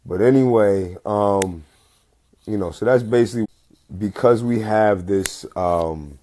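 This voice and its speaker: Low-voiced man rambling on a phone. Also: a little slow, dark tone. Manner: rambling, many fillers and restarts. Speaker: host. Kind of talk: casual live-stream talk.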